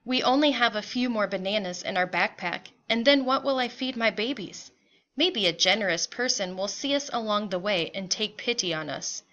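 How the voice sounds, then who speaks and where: Anxious, weary migrant mother, bright voice read by a woman. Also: mid-range voice, normal speed, lo-fi sound, natural voice. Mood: weary, worried.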